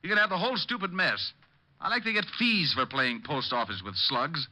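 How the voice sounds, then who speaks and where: An actor playing a wisecracking, world-weary private detective with a sardonic, punchy delivery in an old radio drama style. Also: male voice, on the high side, animated, moderate pace, pushed and bright, low-quality recording. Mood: exasperated, sarcastic. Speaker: lead actor and narrator. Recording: vintage radio recording with faint music.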